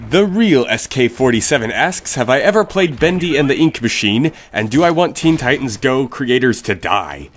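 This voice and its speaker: A man's voice: medium pitch, sing-song, normal speed, few pauses. A young man with a stuffy, sick voice hosting a casual Q&A, rambling and correcting himself as he answers viewer questions. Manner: rambling, self-correcting, blunt opinions. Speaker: YouTube channel host.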